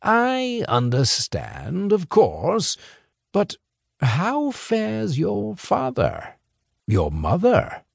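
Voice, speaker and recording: A smooth crime boss , as a man puts him on drawls out each word slowly and persuasively. His pitch swings widely up and down as he talks. The sound is close and dry, with no room around it. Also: huge pitch swings, no room sound, heavily acted. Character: smooth, scheming older male crime boss.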